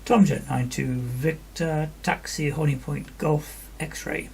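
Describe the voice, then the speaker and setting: A man narrates an air traffic control session with a methodical manner. His voice is very gravelly and his delivery is slow. There is faint noise behind him. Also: fairly high pitch, steady tone, a little mellow. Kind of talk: radio phraseology and explanatory screen-recording commentary.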